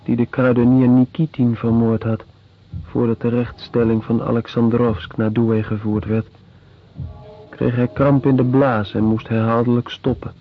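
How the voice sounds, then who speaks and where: A male actor narrating a radio drama as a sober, deliberate chronicler of grim events. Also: mid-range voice, little pitch movement. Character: sober chronicler recounting prison colony horrors. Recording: dull lo-fi radio play recording.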